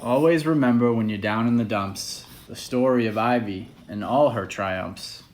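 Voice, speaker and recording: A man with a medium-pitched voice reading a children's picture book aloud. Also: moderate pace, slight background noise. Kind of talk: read-aloud children's story.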